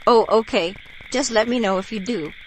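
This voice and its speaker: A woman's voice: on the high side, moderate pace, a little hiss or hum, close to an everyday voice, low-quality recording. A woman playing a neighborly, folksy local with a Louisiana bayou accent in an audio drama. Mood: friendly, folksy.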